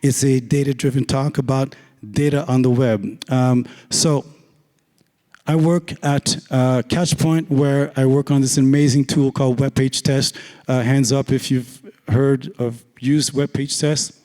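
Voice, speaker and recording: Hoarse raspy man on stage. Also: medium pitch, fairly even intonation, measured pace, mellow. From Canada. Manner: casual, joking, filler-heavy. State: hoarse, lost voice. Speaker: conference speaker. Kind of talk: conference talk. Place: large conference hall. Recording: long hall reverb.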